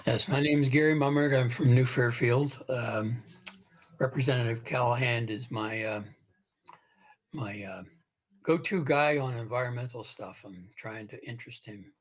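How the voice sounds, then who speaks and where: A man's voice: mid-range voice, moderate pace. Man speaking briefly over a video call. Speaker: workshop participant.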